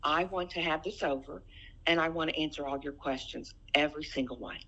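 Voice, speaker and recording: Middle-aged woman, lowish and sharp-toned, insistent and repetitive, in a family phone dispute. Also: fairly quick, highs cut off. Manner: insistent, repeats phrases. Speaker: private caller in a family dispute. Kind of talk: heated personal phone argument.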